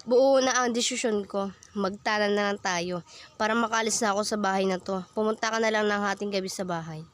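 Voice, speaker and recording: A woman playing a lovestruck, defiant high school daughter in an amateur student radio drama. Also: brisk, no echo, lightly acted. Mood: determined. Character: lovestruck, defiant twelfth-grade daughter telling her story.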